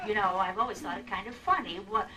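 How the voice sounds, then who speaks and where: A woman's voice: mid-range voice, animated, quick pace, a crowd in the background, lightly acted. A woman playing a prim, gossipy older widow, chattering huffily in a live old-time radio drama with a distant, slightly noisy pickup. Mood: huffy, chatty. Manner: chattering, prissy, huffy. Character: prim, gossipy, indignant widowed lady neighbour.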